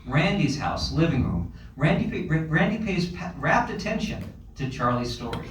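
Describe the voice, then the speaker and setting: A man's voice: on the high side, very animated, moderate pace. A man narrating a screenplay's scene directions at a table read. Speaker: stage-direction reader. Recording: roomy, distant, faint ambient noise.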